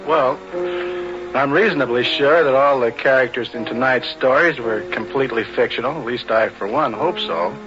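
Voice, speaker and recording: A man's voice: mid-range voice, normal speed. A man speaking as a radio writer-director with dry, wry understatement, in a 1940s radio epilogue style. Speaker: radio writer-director previewing next episode. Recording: muffled old radio broadcast with music.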